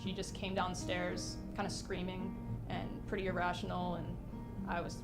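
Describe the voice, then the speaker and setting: A woman's voice: on the low side, completely flat delivery, moderate pace, vocal fry, rough recording. A woman in her mid twenties recounting personal experiences to camera. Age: mid 20s. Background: music.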